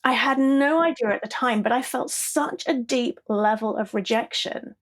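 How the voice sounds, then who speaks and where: A middle-aged woman from southeast England with an English accent, telling stories as a podcast guest in a lively, laughing way. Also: a little high, moderate pace. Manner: animated, laughs often, tags sentences with "yeah?". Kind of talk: conversational storytelling interview.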